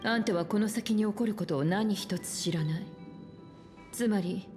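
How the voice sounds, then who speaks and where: A woman's voice: mid-range voice, fast, a little mellow, soft background music, close to an everyday voice. An actress playing an assertive, self-assured woman in a fantasy video game, with a cool, commanding manner. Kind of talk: Japanese video game voice acting.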